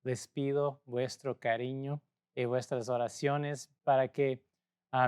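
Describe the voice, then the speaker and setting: A man from Portugal with a Portuguese accent, a native Portuguese speaker, preaching a sermon as a pastor in a measured way with pauses. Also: medium pitch, clean tone. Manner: measured, pausing, speaking a second language.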